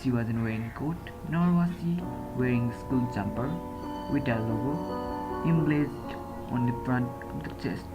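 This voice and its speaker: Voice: mid-range voice, unhurried. A narrator reading a scripted horror story. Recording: muffled lo-fi under loud music. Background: loud music.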